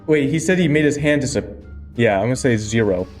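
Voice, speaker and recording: A man's voice: medium pitch, expressive, fairly quick, darkish tone, faint music behind. A man chatting casually as a player in a tabletop role-playing game.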